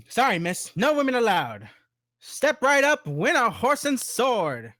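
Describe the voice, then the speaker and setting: A man's voice: very high-pitched, big pitch swings, takes it slowly, bright, forceful tone, no room sound, studio quality, pitched above the performer's own voice, exaggerated voice. A man voicing a patronizing carnival-barker ticketmaster with showy hawking patter in a script table read. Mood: dismissive. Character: carnival-barker ticketmaster, loud, patronizing old-time huckster.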